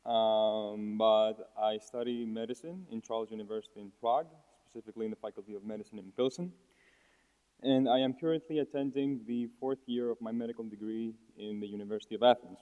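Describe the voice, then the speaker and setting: A man's voice: on the low side, steady tone, brisk, clean tone. A man, giving a formal prepared speech as a student. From Greece. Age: 20s. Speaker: student speaker. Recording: tinny phone-like sound, echoey hall.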